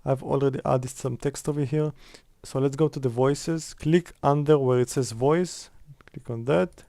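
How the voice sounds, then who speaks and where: A man's voice: medium pitch, steady tone, normal speed, creaky, mellow, no room sound. A man presenting a casual step-by-step screen tutorial in a conversational way.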